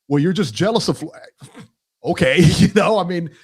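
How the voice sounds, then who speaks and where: A man guesting on a podcast, speaking a bit higher than most men. He talks loosely, circling back and repeating phrases with plenty of fillers. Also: animated, moderate pace, husky. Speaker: guest, boxing channel co-host. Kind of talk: casual podcast chat.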